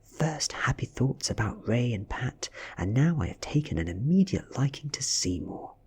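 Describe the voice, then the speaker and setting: A man with a British accent softly narrating a story in ASMR style, breathy and often near a whisper. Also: mid-range voice, big pitch swings, normal speed. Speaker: audiobook reader.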